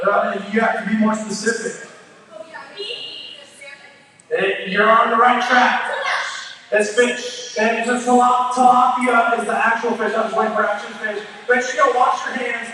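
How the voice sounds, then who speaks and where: A man's voice: very high voice, fairly even intonation, moderate pace. A man working as a youth pastor, hosting games from a stage in an informal, commanding way, his voice a little strained. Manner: informal, commanding, shouts directions to the crowd. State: voice straining, starting to give out. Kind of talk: live stage hosting, hyping games for students. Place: large indoor church room with stage and PA. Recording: very echoey hall, distant mic, faint music.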